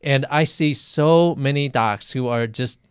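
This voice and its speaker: A middle-aged man hosting a live interview, his voice smooth and resonant at an ordinary pitch. He talks in a thoughtful, conversational way and often repeats himself. The sound is dry and close, with no room around it. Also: a little slow, no echo.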